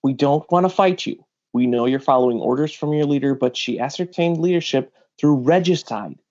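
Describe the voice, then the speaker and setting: A man's voice: medium pitch, fairly quick, a little mellow, natural voice. A man voicing a level-headed, commanding bounty hunter in a comic readalong, firm and urgent, in slightly dull audio. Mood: earnest.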